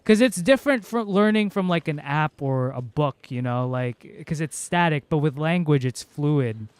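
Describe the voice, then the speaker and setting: A man's voice: a little high, animated, normal speed, clean tone, no room sound. A male game streamer, a native Cebuano speaker, chatting casually and rambling with lots of fillers. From Philippines. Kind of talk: casual livestream chat.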